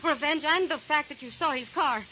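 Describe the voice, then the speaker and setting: Anxious, high-voiced young ballerina. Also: female voice, normal speed, bright, forceful tone, rough recording. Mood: defensive, tense. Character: anxious, defensive, tearful young female ballerina client. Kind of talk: 1940s radio drama acting. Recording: hissy old radio recording.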